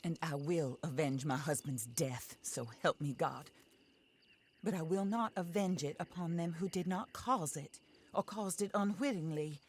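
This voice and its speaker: A genteel Western widow for a game cutscene, her voice notably low. She is composed, formal and drily polite, with a resolute, vengeful core. Night insects sound clearly behind her. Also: a little slow, slight background noise. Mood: grave, resolute. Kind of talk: video game cutscene acting.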